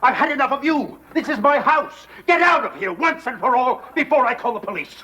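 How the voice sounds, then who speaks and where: An actor playing an exasperated wealthy husband with a bristling manner. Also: male voice, very high-pitched, expressive, a little fast. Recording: old TV sitcom with hiss.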